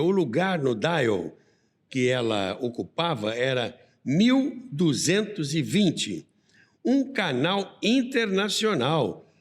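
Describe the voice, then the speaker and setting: Slow, animated Brazilian man with long reverb. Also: a little high. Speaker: podcast host and narrator. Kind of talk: scripted radio history narration with storytelling asides. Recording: long reverberant hall sound.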